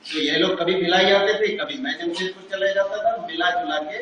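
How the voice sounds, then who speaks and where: A man's voice: sits high, fairly quick, a bit bright, highs cut off, slight background noise. Wry man reminiscing in an echoing hall. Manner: wry, reminiscing, self-mocking. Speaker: stage actor reading a reply letter. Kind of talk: stage play monologue. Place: large auditorium. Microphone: unamplified stage, far from the recorder. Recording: echoey hall from far off.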